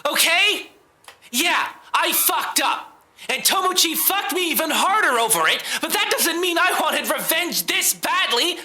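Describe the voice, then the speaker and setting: An actor playing a defensive young man in an audio drama, halting and sweary, insisting he is innocent. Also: very high-pitched, big pitch swings, pushed and bright, full character voice. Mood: desperate, angry. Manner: halting, sweary, emotional delivery.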